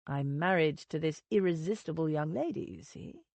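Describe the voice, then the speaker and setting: A woman voicing a proud, stiff young gentleman husband who speaks firmly and sounds offended. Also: sits low, moderate pace, creaky, no room sound, voice pushed down. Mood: tender.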